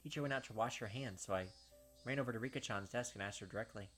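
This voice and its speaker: A man's voice: mid-range voice, racing, fairly bright tone, faint music behind. A man reading visual novel text aloud as a let's-play host, dropping casual, slangy asides along the way.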